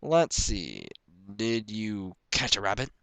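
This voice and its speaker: A man's voice: fairly low pitch, very animated, slow, gravelly, fairly bright tone, smooth, dry recording, heavily acted. A man voicing a good-humored, indulgent country father pony in a folksy, fatherly manner. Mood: playful.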